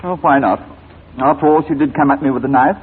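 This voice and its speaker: An actor playing a suave, brazen blackmailer in a 1940s radio drama, glib and nonchalant with mock courtesy. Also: male voice, mid-range voice, quick pace, husky. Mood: brazenly nonchalant. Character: suave, brazen male blackmailer and killer, coolly evasive. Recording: thin, phone-like 1940s radio recording.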